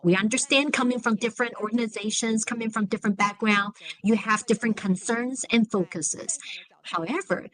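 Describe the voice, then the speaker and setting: Woman moderating a formal seminar, measured phrasing. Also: a little high, a bit bright, poor audio. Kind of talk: formal closing remarks at a seminar.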